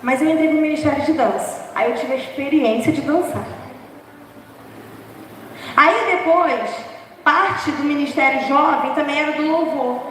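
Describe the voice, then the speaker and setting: A young woman preaching an informal sermon, chatty and humorous, laughing at herself, with a hoarse voice. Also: high voice, measured pace, long pauses, a little hiss or hum. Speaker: preacher from the dance ministry. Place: large church hall. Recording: echoey church hall from far off.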